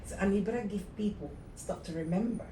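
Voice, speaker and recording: A middle-aged woman co-hosting a podcast in casual conversation, telling stories in an animated way and acting out her own thoughts. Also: mid-range voice, quick pace, recorded from a distance. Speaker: podcast co-host. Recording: echoey room with noticeable noise.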